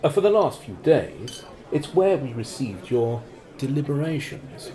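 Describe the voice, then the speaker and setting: A man's voice: mid-range voice, sing-song, unhurried, warm tone. An actor playing a formal, hesitant, uneasy man, a secretive operative who has turned on his organization, in a radio drama. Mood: polite, cautious. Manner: hesitant, formal, well-spoken.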